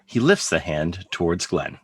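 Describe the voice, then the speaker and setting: Casting: male narrator for script directions, lowish pitch, close dry recording. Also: very animated, measured pace, husky, no echo. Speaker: narrator reading stage directions. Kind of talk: scripted narration in present tense. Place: remote video call table read, dry sound.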